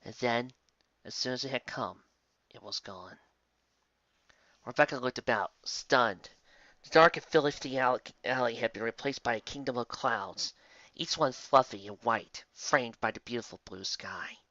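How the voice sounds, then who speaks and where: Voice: medium pitch, measured pace, pushed and bright, no room sound, lo-fi sound. A narrator reading fan fiction aloud in a dramatic, emotive way. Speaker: story narrator.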